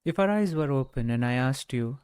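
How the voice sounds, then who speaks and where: A man guiding a meditation in a gentle, measured way, speaking off the cuff with long pauses. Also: medium pitch, expressive, clean tone, no echo, clean studio sound. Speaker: meditation guide. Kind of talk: guided meditation, unscripted talk.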